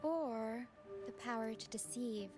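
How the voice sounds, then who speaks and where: An actress playing a curious, expressive young adventurer girl in a video game. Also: a little high, takes it slowly. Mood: storytelling, dramatic. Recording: game music under the voice.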